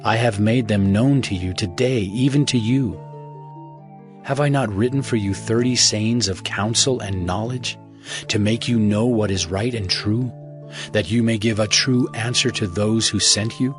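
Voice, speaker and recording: A man's voice: lowish voice, a little slow, faint music behind. A man reading scripture as a dramatized audiobook, delivered as earnest, fatherly counsel. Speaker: scripture narrator.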